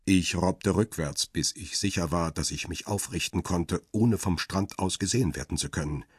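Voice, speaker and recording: Deep husky cynical paparazzo narrator. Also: male voice, moderate pace, no room sound, clean studio sound. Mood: cool, measured. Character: male paparazzo photographer, cool, cynical, guarded first-person narrator. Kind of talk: first-person crime radio drama narration and dialogue.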